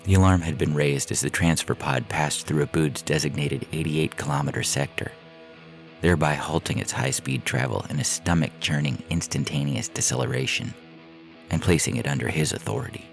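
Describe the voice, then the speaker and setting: A man narrating science fiction stories in a measured, deliberate way, with a gravelly voice. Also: rumbling low. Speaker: podcast story reader. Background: music.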